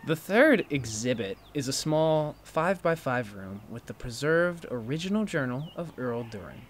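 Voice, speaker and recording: A man's voice: mid-range voice, moderate pace, lightly acted. Guy acting a teasing, questioning college student, podcast drama, mid voice, background ambience noise. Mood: explanatory. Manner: prodding, animated, incredulous. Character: Wynn, inquisitive, teasing, excitable college student.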